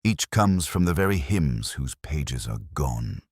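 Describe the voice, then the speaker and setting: Give me a man playing a shrewd, gentle inspector with a very low, formal voice for a mystery story. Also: sing-song, slow pace, slightly rough, no echo, clean studio sound, heavily acted. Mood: calculating. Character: calm, shrewd male police inspector, quietly authoritative.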